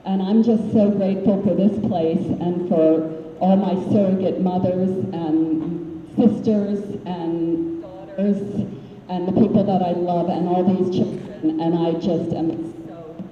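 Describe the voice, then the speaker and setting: A woman's voice: on the low side, steady tone, takes it slowly, a little hiss or hum. Distant woman in a tinny, echoing hall. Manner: earnest and heartfelt. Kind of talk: grateful speech at a ceremony.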